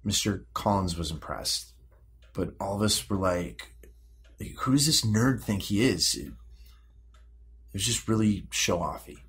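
Moody teenage American boy, deep and breathy-rough, stumbling over words, defensive, audio drama. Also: fairly bright tone, heavily acted. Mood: reluctant, grumbling. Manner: halting, repeating words, slangy teenage speech. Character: defensive, moody sixteen-year-old American high school boy. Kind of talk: naturalistic audio drama dialogue.

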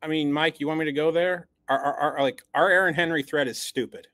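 A man's voice: fairly high pitch, steady tone, fairly quick, fairly bright tone, no room sound, studio quality. A man co-hosting a sports podcast, giving post-game analysis in an emphatic, blunt way and stumbling over repeated words as he builds up steam. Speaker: podcast co-host.

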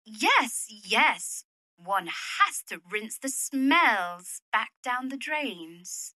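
Woman acting young well-bred lady, high voice, dry close sound, hesitant politeness, radio play. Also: very animated, extremely slow, sharp tone, dry recording, heavily acted. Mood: flustered. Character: genteel, evasive young widowed lady. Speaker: actor in an audio drama.

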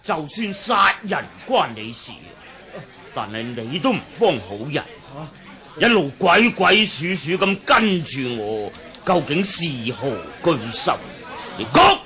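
Hot-tempered Taoist swordsman priest, Hong Kong Cantonese accent, sharp forceful tone, swooping pitch, snarling, radio play. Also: very animated, takes it slowly, a faint crowd, heavily acted. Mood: furious. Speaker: radio drama actor. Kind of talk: 1980s radio drama acting.

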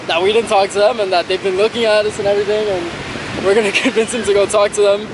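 A man in his twenties chatting casually and excitedly to the camera in slang, as a vlogger out with friends. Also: sits high, quick pace. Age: 20s. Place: outdoors at a beach and in a car. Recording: music over voice, windy outdoor camera audio.

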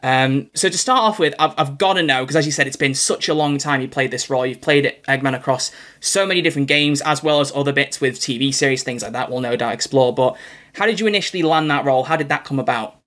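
A man's voice: mid-range voice, fast talker, fairly bright tone. A young man hosting a podcast interview from home, chatty, effusive and rambling as he talks to a guest. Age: young adult. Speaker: podcast host. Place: small home room, video call.

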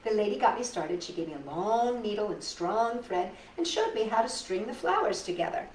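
A middle-aged woman reads a children's story aloud with a lively, swooping delivery. She pauses for effect and slips in chatty asides. The sound is roomy and a little distant, with some noise behind. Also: mid-range voice. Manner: animated, dramatic pauses, addresses young listeners. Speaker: storytime host reading picture books to children. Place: indoors in a living room.

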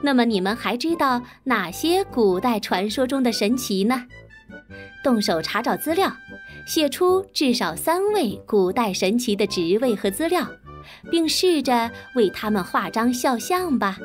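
A woman's voice: fairly high pitch, big pitch swings, measured pace, soft background music. A woman reading scripted educational narration for children.

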